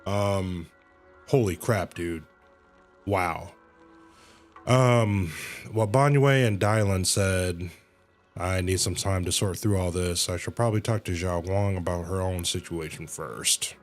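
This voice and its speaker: A man's voice: low-pitched, takes it slowly, soft background music. A man livestreaming a game, reacting casually in a slangy, hesitant way with emphatic repetition. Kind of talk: casual live reaction commentary, sometimes reading game lines aloud.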